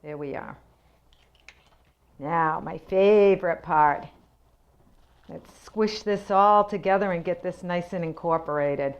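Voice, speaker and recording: A woman's voice: on the low side, slow pace, pauses often, muffled recording. A woman hosting a cooking show from her kitchen, chatty and homey as she explains each step. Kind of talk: home cooking demonstration. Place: home kitchen.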